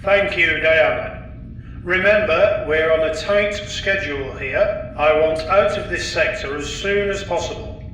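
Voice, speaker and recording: An actor playing a brisk, commanding male spaceship captain in an audio drama. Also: a little high, bright, forceful tone, slight background noise. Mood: firm. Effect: intercom. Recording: very echoey, music underneath.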